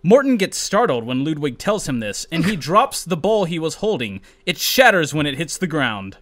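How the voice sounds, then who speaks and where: A man hosting a podcast in an animated, self-amused way, reading a script and chatting casually. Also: on the high side, moderate pace, slight background noise. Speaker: podcast host and narrator. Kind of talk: casual comedic reading and chat.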